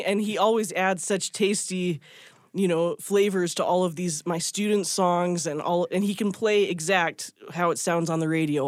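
A woman's voice: lowish voice, no echo, clean studio sound. A woman speaking as a guest musician and teacher, telling a personal anecdote in a casual, chatty, self-deprecating way. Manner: chatty, self-deprecating, breaks into small laughs. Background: guitar music.

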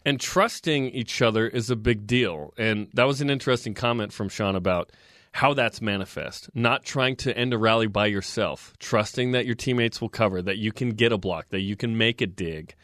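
A man hosting a sports talk radio show, recapping results and reading trivia in a steady, informative way. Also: on the low side, moderate pace, no room sound, studio quality.

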